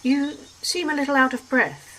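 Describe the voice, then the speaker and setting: A woman's voice: sits high, fairly even intonation, normal speed, sharp tone, a little hiss or hum, pitched above the performer's own voice, poor audio. A reader voicing an arch, refined woman traveller who speaks in a poised, teasing way.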